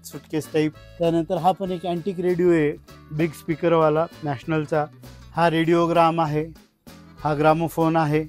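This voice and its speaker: A man's voice: on the high side, darkish tone. A man talks informally as a collector, explaining his items in short phrases. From India. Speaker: radio collector being interviewed.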